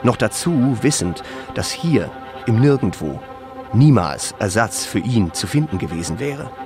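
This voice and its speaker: A man reading scripted literary narration as the narrator of a radio play. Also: on the low side.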